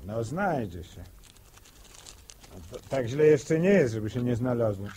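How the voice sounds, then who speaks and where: Husky young farm lad. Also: male voice, medium pitch, animated, unhurried, mellow. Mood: polite, easygoing. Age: young adult. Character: young farm lad, sociable, eager suitor. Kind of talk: 1960s radio drama.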